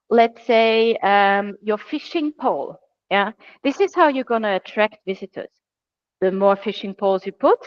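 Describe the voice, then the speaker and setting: A woman's voice: fairly high pitch, dry recording. Woman, Austrian accent, webinar presenter, friendly, self-deprecating, dull dry call audio. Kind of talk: online presentation.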